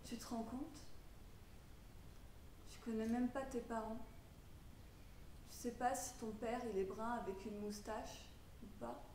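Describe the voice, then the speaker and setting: High-voiced, volatile troubled young mother played by a woman. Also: steady tone, measured pace, long pauses, recorded from a distance, close to an everyday voice. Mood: wounded, brooding. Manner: volatile, swinging from muttered confiding to shouted outrage. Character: troubled young mother, wounded, defiant, raging at authorities. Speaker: drama student performing a monologue. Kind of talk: theatrical stage monologue. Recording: roomy echo with background noise.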